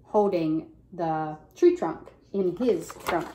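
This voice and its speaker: A woman's voice: lowish voice, dark tone, slight background noise. A young adult woman reading a picture book aloud like a teacher, with emphatic phrasing and questions for a young child. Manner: deliberate, emphatic storybook phrasing, prompting with questions. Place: indoor room at home.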